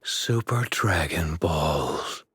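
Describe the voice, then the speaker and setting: A man in an anime dub voicing a brooding, calculating divine villain in a measured, formal, ominous manner, with effects noise under the voice. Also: low voice, expressive, fairly bright tone, husky, no room sound, clean studio sound, full character voice. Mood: intrigued. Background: ambient sound effects.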